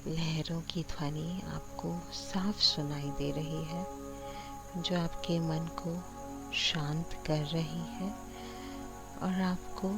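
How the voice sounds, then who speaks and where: A woman with a low voice narrates a guided sleep meditation very slowly. Her delivery is gentle and soothing, with long pauses, and prominent music plays behind her. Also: fairly even intonation. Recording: voice over loud background music.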